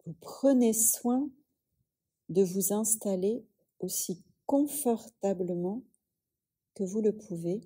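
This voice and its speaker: Very slow, soft dark-voiced woman guiding meditation. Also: mid-range voice, animated, breathy edge. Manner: soothing, unhurried, with long pauses. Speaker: yoga nidra teacher. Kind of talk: guided relaxation meditation.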